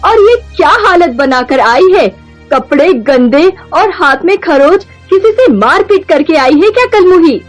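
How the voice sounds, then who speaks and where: Casting: high-pitched, short-tempered middle-aged mother-in-law, acted by a woman, taunting and theatrical, for a melodramatic cartoon moral story. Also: expressive, measured pace, soft background music. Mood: irritated scolding.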